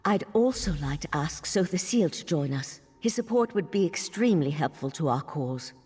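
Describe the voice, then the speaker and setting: A woman playing an earnest, resolute elven leader and mentor in a fantasy game, with a husky voice and formal, persuasive delivery. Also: sits low, animated, moderate pace, darkish tone, heavily acted. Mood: earnest urgency. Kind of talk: scripted fantasy game dialogue. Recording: long hall-like reverb.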